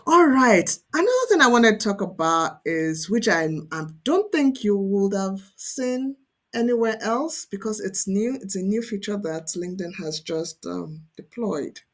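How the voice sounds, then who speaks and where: A woman's voice: medium pitch, animated, unhurried, fairly bright tone. Emphatic woman coach teaching online. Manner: emphatic, often checks in with "right?" and "okay?". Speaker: career coach presenting an online course. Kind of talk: instructional screen-share tutorial, conversational.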